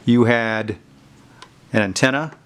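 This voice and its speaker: A man's voice: mid-range voice, takes it slowly, fairly bright tone, a little hiss or hum. A man hosting a casual product demonstration video, explaining things in a folksy, informal way. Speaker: video host showing vintage electronics. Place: indoors in a basement.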